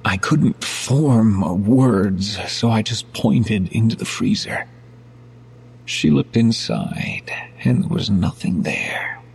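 A man playing a frightened, guilt-ridden shop worker, telling his horror story in an intense, halting, gravelly delivery. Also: fairly low pitch, animated, full character voice. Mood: shaken. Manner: intense, halting, breaking into anguished shouts. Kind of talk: dramatic first-person horror narration. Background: music.

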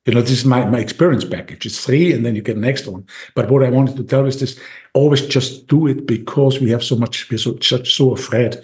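A man with an Austrian accent talks as a podcast guest. He speaks eagerly and at length, and he restarts his sentences often. His voice sits at an ordinary middle pitch for a man. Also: fairly quick, darkish tone. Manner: eager, talkative, with many restarts and fillers. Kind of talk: casual interview talk.

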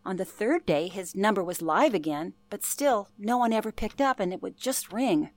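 A woman's voice: mid-range voice, lively intonation, moderate pace, dry recording, lightly acted. An actress playing an older former telephone operator, telling her past in an earnest, genteel way for a radio play. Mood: storytelling. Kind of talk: radio play, interview-style reminiscence.

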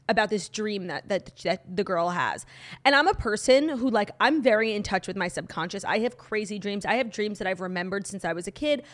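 A woman co-hosting a podcast in casual chat, her voice a little hoarse, talking in a gushing rush full of "like". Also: medium pitch. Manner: gushing, fast, heavy use of "like". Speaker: podcast co-host.

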